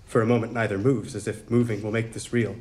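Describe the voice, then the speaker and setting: A man's voice: lowish voice, level tone, quick pace, a little mellow. A man narrating script directions in a dramatic reading at a table read. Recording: room with faint noise and crowd.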